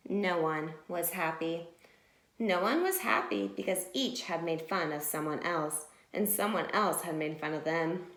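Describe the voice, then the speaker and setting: A woman teacher reading a picture book to young children and explaining it simply and expressively. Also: on the low side. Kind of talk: children's read-aloud and mini lesson. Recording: echoey room.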